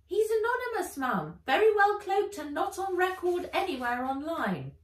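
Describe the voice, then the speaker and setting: A woman's voice: very high voice, very animated, moderate pace, echoey room, recorded from a distance, pitched above the performer's own voice. A woman voicing a surveillance robot servant in a children's storybook, giving precise, formal reports to its mistress. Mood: dutiful reporting.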